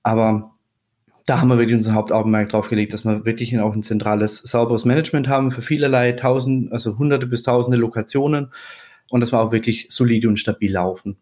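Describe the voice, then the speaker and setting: A man from Swabia, a podcast guest, talking in a relaxed, joking way and explaining his work fluently. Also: medium pitch, fast. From Swabia, Germany. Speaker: podcast guest, regional business manager. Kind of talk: casual podcast interview.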